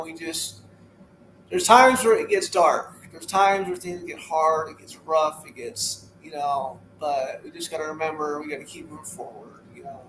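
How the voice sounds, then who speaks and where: Earnest high-voiced man in his thirties. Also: fairly bright tone, reverberant, a little hiss or hum, poor audio. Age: 30s. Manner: earnest, repetitive, motivational. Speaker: podcast guest. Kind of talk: casual interview talk.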